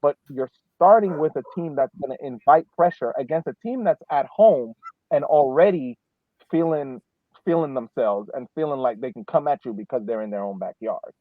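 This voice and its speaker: A man breaks down soccer in casual podcast conversation. He tends to restart and double up words as he works toward his point. His voice sits at a middle pitch, recorded dry with little room sound. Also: dry recording, phone-quality sound. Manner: halting, repeats words as he starts sentences. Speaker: sports podcast co-host. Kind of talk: casual soccer analysis chat.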